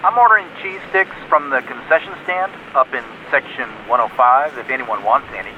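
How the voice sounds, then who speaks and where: A man's voice: mid-range voice, fairly quick, narrow, tinny audio, noisy background. A man acting in an audio drama as a laid-back, literal-minded lookout heard through a walkie-talkie filter. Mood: obliging, casual. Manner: terse radio jargon with rambling asides. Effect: walkie-talkie radio filter. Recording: band-limited walkie-talkie sound.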